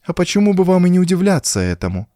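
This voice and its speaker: Guy doing a scared young woman in an audiobook, soft n plaintive, pitch lifts up and moves a lot, dry sound. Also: big pitch swings, quick pace, dry recording. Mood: bitter, hurt. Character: frightened, bitter young woman confiding her troubles. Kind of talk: audiobook character dialogue.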